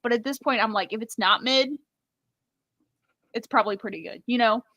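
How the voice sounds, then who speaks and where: A woman with a slightly high voice chats as co-host of a book readalong, recorded dry with no room sound. Her delivery is informal and wry, full of casual "likes". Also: normal speed, pushed and bright, dry recording. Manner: wry, conversational, uses lots of "like".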